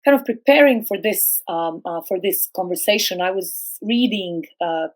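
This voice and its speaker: A middle-aged woman who grew up speaking Serbo-Croatian, a guest philosopher on a podcast, speaking slowly and hesitantly with many fillers and restarts. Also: medium pitch, rough recording. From former Yugoslavia. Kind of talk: academic podcast discussion.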